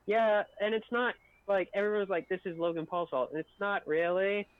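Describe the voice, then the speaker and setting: Man, slightly high pitch, dull lo-fi sound, casual explanatory livestream chat. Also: fairly even intonation, moderate pace, smooth, no echo. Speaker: stream co-host.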